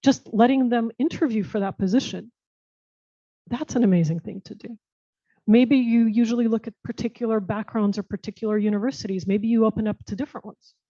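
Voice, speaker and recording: An American woman with a mellow, warm voice gives earnest, reflective answers as a guest speaker. She often restarts her phrases as she thinks. The recording is dry and a little dull. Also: fairly high pitch, brisk, no echo. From United States. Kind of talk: conversational answers at a panel Q&A. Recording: muffled dull sound.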